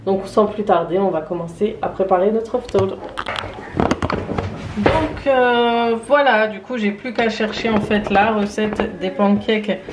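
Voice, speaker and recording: A woman's voice: mid-range voice, measured pace, echoey room, noisy background. A tired woman chatting casually in her home kitchen, hesitant, with many fillers. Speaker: vlogger. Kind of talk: casual vlog chat.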